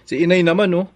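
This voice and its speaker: An actor playing a lazy, spoiled young husband in a Filipino radio drama, whining and wheedling, with a Filipino accent. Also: male voice, high voice. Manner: whiny, wheedling, grumbling.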